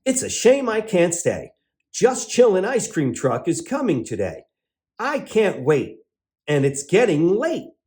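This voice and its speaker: A man's voice: sits high, wildly swooping pitch, measured pace, a little mellow, heavily acted. A man voicing a friendly, kind storybook cat who is eager and apologetic about being in a hurry. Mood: apologetic, rushed. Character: friendly hurried young cartoon cat, cheerful and kind.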